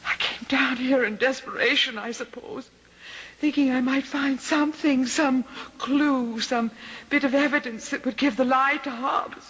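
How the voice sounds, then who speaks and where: An actress playing a distraught servant woman in a radio drama, halting and pleading with melodramatic delivery. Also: high-pitched, fairly even intonation, fairly bright tone, breathy edge, long reverb tail, heavily acted, poor audio. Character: distraught servant woman protesting her innocence. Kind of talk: old-time radio drama acting.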